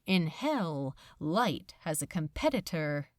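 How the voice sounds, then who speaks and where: A woman reading scripted fiction as a narrator, in a measured, dramatic storytelling manner. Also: sits low, big pitch swings, no room sound, studio quality. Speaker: audiobook narrator.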